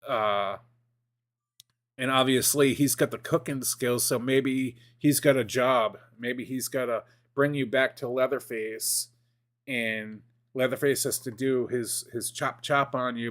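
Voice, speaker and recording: A man in his forties chatting casually as a streamer, rambling and excitable, with lots of fillers and restarts. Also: medium pitch, measured pace. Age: 40s. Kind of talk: casual gaming chat.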